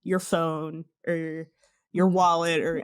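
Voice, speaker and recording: A woman's voice: low-pitched, little pitch movement, slow pace, clear, resonant tone. A woman hosting a casual podcast, chatty and giggly. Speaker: podcast host.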